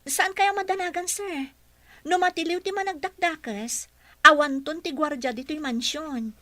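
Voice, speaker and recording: A respectful young-sounding female caregiver in a radio drama, dutiful toward the boss. The voice is high and bright, recorded very dry and close. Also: normal speed, dry recording. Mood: respectful, defensive.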